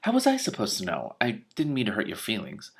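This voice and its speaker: A feisty young fire witch from a storybook, played by a man. She is blunt, defiant and a bit sullen, in a husky voice with lively pitch. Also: a little fast, exaggerated voice. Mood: placating.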